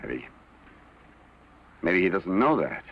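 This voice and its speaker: A man playing a calm, watchful companion in a Western drama, speaking in terse, measured lines. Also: low-pitched, creaky, exaggerated voice. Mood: quiet, probing. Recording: dull lo-fi recording, faint noise.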